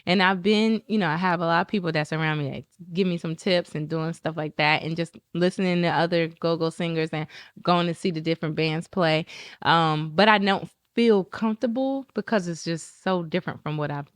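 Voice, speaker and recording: A woman in casual podcast conversation, an animated storyteller who acts out what other people said. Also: a little fast, clean tone, no echo, clean studio sound. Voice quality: describes her own talking voice as high-pitched and squeaky.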